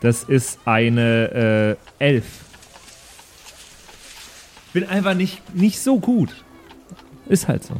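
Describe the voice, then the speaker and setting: A man's voice: medium pitch, big pitch swings, very slow, pauses often, a little mellow, slight background noise. A man taking part as a player in an improvised tabletop role-playing show, chatting casually. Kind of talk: casual improvised game chat.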